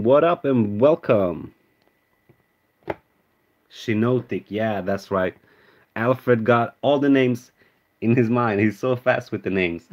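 A man's voice: mid-range voice, darkish tone. Swedish man chatting on a lo-fi stream. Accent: Swedish, American-tinged. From Sweden. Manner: chatty, reads out viewer names, names cards as he pulls them. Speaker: card-opening streamer. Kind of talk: casual live-stream chat. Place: indoor room with card display.

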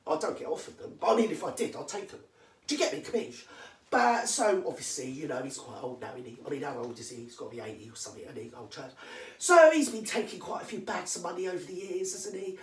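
A vlogger with a London accent, rattling off comic celebrity gossip, answering their own questions and dropping into hushed asides. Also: expressive, fast talker. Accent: London English. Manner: drops to hushed asides, jumps to emphatic high yeses. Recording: echoey room, voice far from mic.

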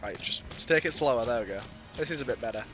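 A British man commentates casually on a game in a bright, forward voice at a middling pitch. He has a cold that leaves a slight rasp, and he talks playfully with some mild swearing. Game music plays faintly behind him. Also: expressive, fast. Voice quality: slightly raspy. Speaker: gaming YouTuber. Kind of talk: casual let's-play commentary.

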